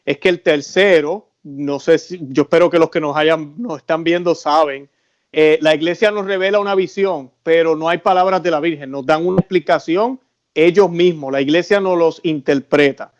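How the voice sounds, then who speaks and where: A man's voice: fairly high pitch, moderate pace, lo-fi sound. Man hosting, recapping for viewers. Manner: recapping for viewers with tag questions. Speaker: host. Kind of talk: interview.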